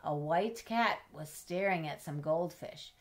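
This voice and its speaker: A middle-aged woman reading a children's storybook aloud in an expressive, measured way, as if to young listeners. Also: lowish voice. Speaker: storybook reader. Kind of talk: children's read-aloud with chatty asides. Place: indoors in a home room with bookshelves.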